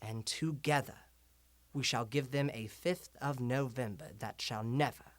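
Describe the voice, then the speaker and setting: A man playing an eloquent, defiant vigilante revolutionary, delivering a measured, rhetorical monologue as a broadcast address to a nation. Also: medium pitch, no echo, close to an everyday voice. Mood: resolute, stirring. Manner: measured, rhetorical, deliberate dramatic pauses, drops to whispers.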